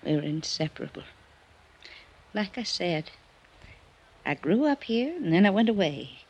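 A woman's voice: medium pitch, sing-song, measured pace, breathy edge, heavily acted. An actress playing a frail, weary, wistful older woman in an old-time radio drama, lingering sentimentally over her words. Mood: wistful, fond. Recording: dull, noisy old radio recording.

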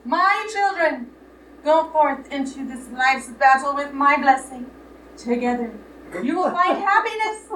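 A woman's voice: high-pitched, expressive, fairly bright tone. A woman acting a pompous, gullible, sentimental judge in a live radio play, with grandiose delivery. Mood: sentimental blessing. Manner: grandiose, deliberate. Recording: roomy, distant, clearly noisy stage recording.

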